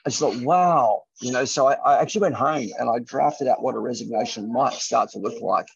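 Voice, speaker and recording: A man's voice: medium pitch, fairly even intonation, fast, creaky, breathy edge, no echo. A middle-aged man speaking as an entrepreneur on a panel, telling anecdotes in an emphatic, conversational way. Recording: lo-fi, muffled audio.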